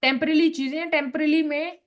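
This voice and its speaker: A young woman with a higher-than-average voice gives a persuasive team pep talk. Her throat is slightly sore, and her delivery is chatty and lively, with English phrases dropped in along the way. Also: fast talker. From Punjab, India. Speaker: team leader giving a training session. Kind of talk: motivational sales talk.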